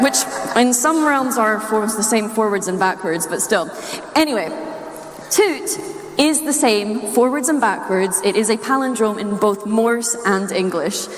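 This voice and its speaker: A woman from Scotland with a Scottish accent gives a playful, humorous, nerdy talk to a live audience. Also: mid-range voice. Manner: playful, chuckles at own wordplay. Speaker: speaker giving a talk. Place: large indoor room or hall, live talk. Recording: echoey hall with long reverb.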